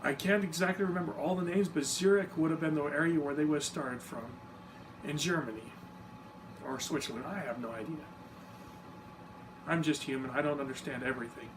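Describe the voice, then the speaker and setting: Male explainer, slightly high voice, relaxed and informal, recorded from a distance. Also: brisk. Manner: easygoing, self-deprecating, informal asides. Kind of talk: casual explanatory talk to camera. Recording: distant mic with steady background noise.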